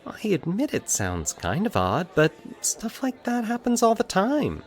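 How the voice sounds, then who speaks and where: Man voicing a high-pitched, gently teasing young woman. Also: wildly swooping pitch, a little slow, exaggerated voice. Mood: reassuring, hesitant. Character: Valerie, young woman, sympathetic, teasing old friend. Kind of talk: fiction podcast dialogue within narration.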